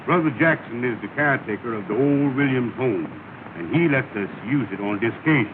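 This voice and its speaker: A pompous, solemn lodge leader acted by a man in a vintage comedy film. He hands out orders grandly in folksy, ungrammatical dialect. The soundtrack is thin, narrow and clearly noisy. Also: mid-range voice, lively intonation, a little fast, natural voice. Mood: firm, secretive. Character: solemn, self-important lodge leader giving instructions. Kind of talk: 1930 film comedy acting.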